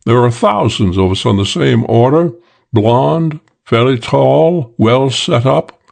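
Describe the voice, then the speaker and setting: A man's voice: mid-range voice, vocal fry. A man voicing a suave, boastful English con man in an audiobook, talking in a cool, self-assured drawl. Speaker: character in an audiobook. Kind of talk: audiobook character voice.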